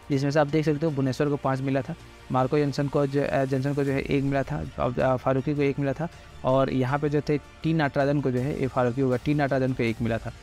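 Brief: fast-talking male cricket tipster, mid-pitched, rambling filler-heavy explainer, faint music under. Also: darkish tone. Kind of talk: casual Hindi pitch-report explainer over slides. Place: voice-over on screen recording.

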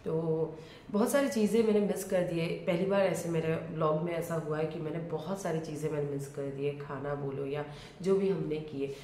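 Chatty low-voiced woman vlogger. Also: brisk, dark tone, echoey room, slight background noise. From India. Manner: chatty, rambling, mixes in English phrases. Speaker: travel vlogger. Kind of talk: casual vlog chat. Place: small bedroom, seated on a bed.

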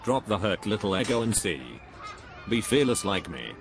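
A man's voice: lowish voice, animated, moderate pace, a crowd in the background. A man playing a pompous, dogmatic spiritual guru in a scripted cartoon dialogue, preachy and dismissive. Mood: condescending, preaching.

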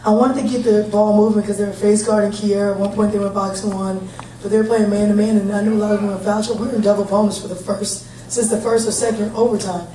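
A woman in her thirties, a head basketball coach, answering reporters at a post-game press conference in a steady, plain-spoken, upbeat way, picked up by a table mic from across a large room. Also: medium pitch, fast. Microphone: table mic, heard from a distant camera. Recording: echoey room, distant mic, faint hum.

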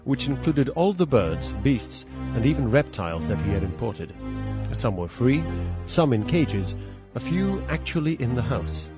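An actor playing a polite gentleman narrator in a radio drama, speaking in a formal, literary, measured way. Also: medium pitch, very animated. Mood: measured, awed narration. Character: polite gentleman narrator, an awed visiting cousin. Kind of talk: dramatised first-person storytelling for radio. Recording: dull radio recording over music.

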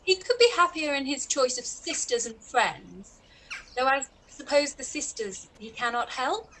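Witty Regency heroine woman acting: young, crisp bright tone, poised, slight background noise. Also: sits high, lively intonation, a little slow. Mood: wry. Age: young adult. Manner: wry, teasing, poised. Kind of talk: period drama read-through. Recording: noisy home video call.

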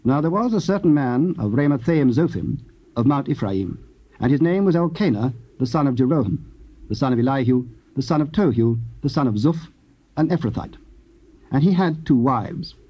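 A man giving measured, solemn scripted scripture narration. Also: medium pitch, lively intonation, husky. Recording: dull old cassette tape.

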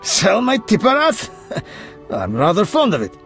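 An actor playing a haughty, rich, fat merchant in a fantasy game, with a theatrical delivery. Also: male voice, sits high, wildly swooping pitch, measured pace, fairly bright tone, soft background music, heavily acted. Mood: smug, bargaining.

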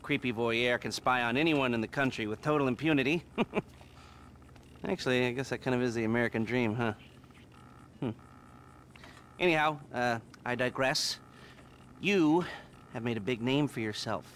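An actor playing a cynical, self-loathing male hacker in a video game, halting and sardonic. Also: medium pitch, fairly bright tone, a little hiss or hum, close to an everyday voice. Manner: halting, sardonic, nervous chuckling. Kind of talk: video game cutscene acting.

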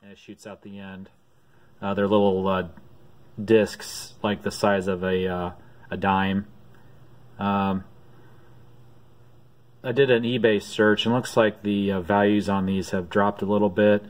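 A man talks through his latest finds from behind a handheld camera, drawling along slowly with frequent "uh" pauses. His voice sits on the low side and barely rises or falls. The feel is relaxed and homemade. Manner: unhurried, many "uh" pauses, low-key. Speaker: vlogger showing thrift and auction finds. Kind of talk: casual off-camera show-and-tell. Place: home room at a desk.

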